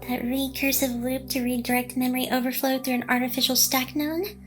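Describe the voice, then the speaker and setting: A woman's voice: sits high, flat delivery, brisk, soft background music, lightly acted. A woman playing a playful AI hacker girl in an intimate ASMR roleplay, teasing and mock-aloof while secretly smitten and protective of the listener. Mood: dry, smug teasing. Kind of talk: ASMR roleplay, intimate one-sided scripted drama.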